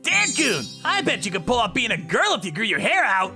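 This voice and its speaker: Man doing high, bubbly young Keita. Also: wildly swooping pitch, brisk, music in the background, full character voice. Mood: cheerful, coaxing. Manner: cheerful, coaxing.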